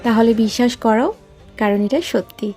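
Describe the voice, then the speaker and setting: A woman voicing a calm, teasing young male pop idol in a romance story, soft and amused. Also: on the high side, moderate pace, faint music behind, close to an everyday voice. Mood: teasing, tender.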